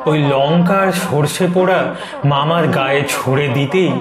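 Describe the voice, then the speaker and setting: A man's voice: a little high, moderate pace, a crowd in the background. A man narrating a scripted horror story for animation. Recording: echoey, faint noise and ambience.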